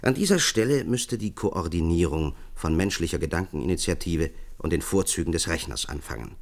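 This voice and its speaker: An earnest expert in a science-fiction radio play. His voice is very low, and he speaks formally, lecturing and persuading as if laying out a grand project. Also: lively intonation, fairly quick, gravelly. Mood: explaining. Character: earnest male science expert pitching a visionary project to leaders. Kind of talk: German science-fiction radio drama acting.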